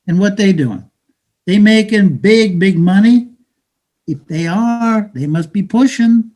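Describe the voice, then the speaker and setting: A man in a play reading, playing a cynical, bitter, streetwise Brooklyn-born man who talks in halting slang. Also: sits high, a little mellow. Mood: scornful, knowing. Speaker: stage actor.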